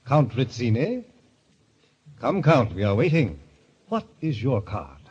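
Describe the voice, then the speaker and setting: An actor playing a formal, commanding club president in a 1940s radio drama. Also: male voice, mid-range voice, sing-song, slow pace, a little mellow, breathy edge, heavily acted. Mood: formal, expectant. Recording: dull vintage radio, faint noise.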